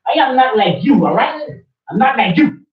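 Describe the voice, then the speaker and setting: A man's voice: extremely high pitch, sing-song, normal speed. A man rants with fire and insistence, hammering the same points again and again. He sounds far from the camera, so his voice comes through distant and echoey. The recording of the small room is dull and muffled. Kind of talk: impassioned rant. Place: small study room with bookshelves, indoors.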